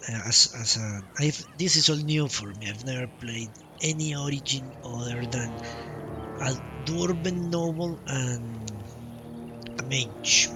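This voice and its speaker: A man doing casual live commentary as a game streamer, rambling in halting, broken-up phrases. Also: medium pitch. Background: game music.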